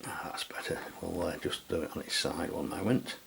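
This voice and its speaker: A man's voice: sits low, a little fast, gravelly, a bit bright, whispered. A man from England giving a casual, hesitant product review with many fillers. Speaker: radio reviewer. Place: home radio room.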